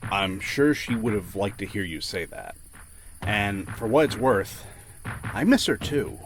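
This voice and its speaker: A man's voice: fairly low pitch, expressive, measured pace. A man playing an earnest younger survivor on the run, sincere and understated, in a sci-fi audio drama. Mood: wistful, sincere. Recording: clear background noise, insect-like chirping.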